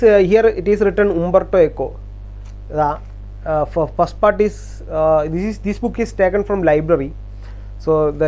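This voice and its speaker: Halting man, high-pitched voice. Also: a little mellow. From Kerala, India. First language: Malayalam. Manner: halting, repeats words, frequent uh fillers. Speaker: channel host. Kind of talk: casual talk to camera.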